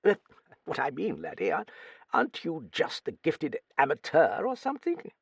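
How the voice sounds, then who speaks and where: A man doing a gruff old lady, blustery and high. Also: wildly swooping pitch, normal speed, dry recording, full character voice. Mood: bluff, gossipy. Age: older. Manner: blustering, swearing, hearty. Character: gruff old Australian-born woman, blunt and cheeky.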